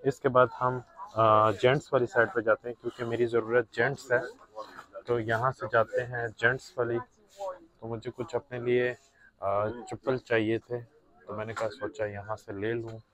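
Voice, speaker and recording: A man's voice: mid-range voice, flat delivery, moderate pace, fairly bright tone, rough recording. A young man, chatting casually to viewers as he films himself shopping in a big indoor discount store on a handheld phone. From Pakistan. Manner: chatty, mixes in English words, talks to viewers as brothers. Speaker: vlogger. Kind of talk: casual shopping vlog narration. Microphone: phone held by the filming hand.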